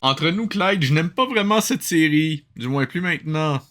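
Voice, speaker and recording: A man's voice: a little high, expressive, moderate pace, a bit bright, soft background music. A man with a Quebec French accent chatting casually and sarcastically as he reacts to a cartoon as host. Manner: teasing, sarcastic. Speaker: host of a watch-along reaction show. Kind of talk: casual chat, live commentary over a cartoon.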